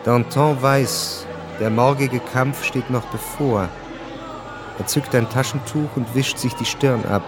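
A man narrating a historical radio drama in a measured, observant, dramatic way. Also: mid-range voice, steady tone, music in the background, natural voice. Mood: sober, observant. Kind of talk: scripted literary narration.

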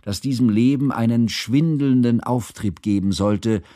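A man acting as a learned, devoted bourgeois biographer in a radio drama, narrating in a formal, ornate and deliberate way. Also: medium pitch, dark tone, dry recording, clean studio sound, natural voice. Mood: measured, recollecting. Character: learned, devoted bourgeois biographer recalling his composer friend.

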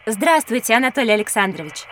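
An actress playing a polite, inquisitive school-age child, earnest and courteous, in an educational audio drama. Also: female voice, fairly high pitch, expressive, fast talker, a bit bright, a little hiss or hum. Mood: questioning.

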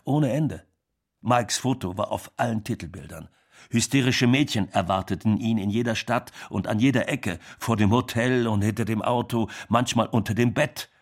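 A music business man played by an actor looks back in a radio drama. His voice is fairly low, and he recounts things in a matter-of-fact way. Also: lightly acted. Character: music business man marveling at past success. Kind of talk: documentary radio drama monologue.

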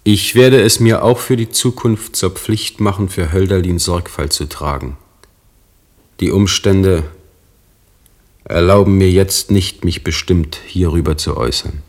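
Solemn state official, deep voice, formal, measured, dutiful, radio play. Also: male voice. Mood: grave, formal. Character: grave government councillor, loyal friend turned dutiful official. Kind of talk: German radio drama acting.

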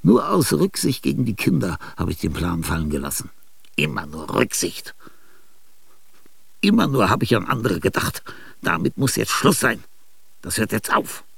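An actor in a radio drama playing an ailing, aging male genius, restless and grandiose. He speaks confidingly in a husky, gravelly voice, with sudden outbursts and whispers. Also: on the low side, wildly swooping pitch, normal speed, long pauses, fairly bright tone, no room sound, exaggerated voice. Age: older. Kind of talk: theatrical radio drama monologue.

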